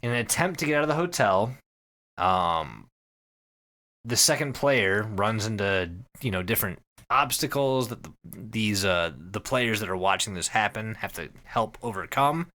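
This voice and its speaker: Male podcast storyteller, slightly low and very animated, casual, sweary, punches his phrases. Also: normal speed. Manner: profane, emphatic, repeats phrases for effect. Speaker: podcast host telling a story to co-hosts.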